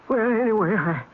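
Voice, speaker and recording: High, flustered, henpecked old fellow. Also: male voice, husky, phone-quality sound, heavily acted. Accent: American. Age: older. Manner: stammering, folksy, fussy. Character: meek, flustered, henpecked aging man, once a gunfighter. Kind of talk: old-time radio western acting. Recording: thin, band-limited old radio recording.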